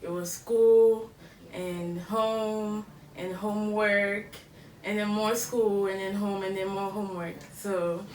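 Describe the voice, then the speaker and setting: A young woman from Cameroon, co-hosting a podcast and telling her story in a measured way, correcting herself as she goes. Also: mid-range voice, steady tone, darkish tone. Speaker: podcast co-host. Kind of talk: casual chat. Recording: echoey room, mic far off, background noise.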